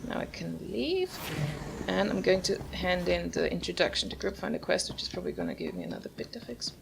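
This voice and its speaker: Casting: female gaming host, low creaky voice, musing casual commentary over game music. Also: huge pitch swings, a little fast, noisy background.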